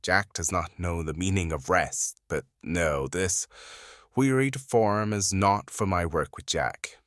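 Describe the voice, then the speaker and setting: A woman voicing a weary, guarded male FBI profiler in an audio drama, tired and deliberate, her voice pitched down to sound masculine. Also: dry recording, clean studio sound. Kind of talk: fan audio drama acting.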